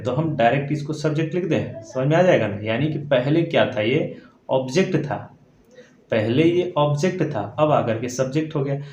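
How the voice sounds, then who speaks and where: A man gives a step-by-step lesson in a medium-pitched voice, going back over his points and checking in often with short tag questions. The recording is roomy and echoing, with a faint layer of noise behind him. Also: a little mellow. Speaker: English grammar teacher. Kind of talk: classroom lesson explained step by step. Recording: echoey room with faint background noise.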